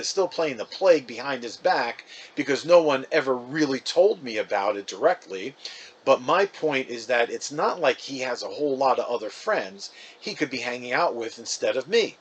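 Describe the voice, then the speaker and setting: A man's voice: medium pitch, expressive, moderate pace, a little hiss or hum, natural voice. A man reading a storybook as a chatty, wounded, defensive fifth-grade boy, casual and emphatic. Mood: defensive, resigned.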